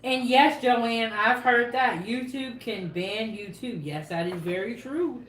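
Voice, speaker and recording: A woman's voice: on the high side, slow, a bit bright. A woman co-hosting a live cooking stream, chatting casually, reading viewer comments and laughing often. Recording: echoey room.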